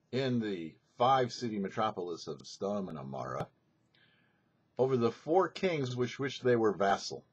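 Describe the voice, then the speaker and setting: Animated, husky male rabbi teaching. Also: medium pitch, lo-fi sound. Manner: emphatic, didactic, rhetorical questions and deliberate phrasing. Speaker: rabbi, host of a weekly Torah show. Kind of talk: scripted religious teaching, sermon.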